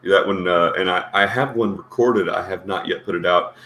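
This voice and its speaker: A man speaks as a guest on a casual live stream with faint noise behind him. His voice is low, a bit gravelly and congested. He talks haltingly, often circling back to restart a phrase. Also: bright, forceful tone. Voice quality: a little gravelly. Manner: hesitant, halting with repeated starts. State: congested with a sinus infection. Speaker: guest co-panelist, content creator.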